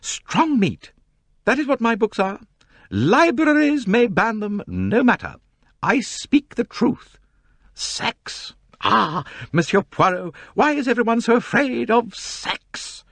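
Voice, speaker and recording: A man voicing a pompous middle-aged woman novelist with a high, complaining voice. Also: very animated, takes it slowly, fairly bright tone, no echo, exaggerated voice. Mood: emphatic.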